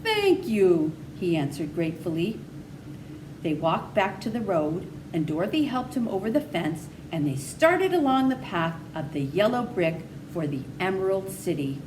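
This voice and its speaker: A woman's voice: fairly low pitch, lively intonation, moderate pace, darkish tone, slight background noise, low-quality recording. A woman reading a children's story aloud as narrator. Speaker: story reader.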